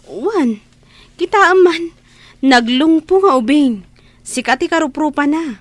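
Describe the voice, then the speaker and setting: A woman's voice: sits high, expressive, slow pace, no room sound. An actress playing a helpful, earnest young woman in an Ilocano audio drama. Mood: warm, reassuring. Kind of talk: Ilocano radio-style audio drama acting.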